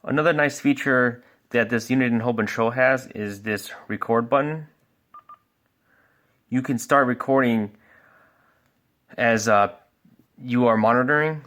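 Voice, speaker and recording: A man with a medium-pitched voice presents a product walkthrough. He explains things step by step in an informal way, like a sales expert showing a customer how a device works. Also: a bit bright. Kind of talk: casual product review and walkthrough.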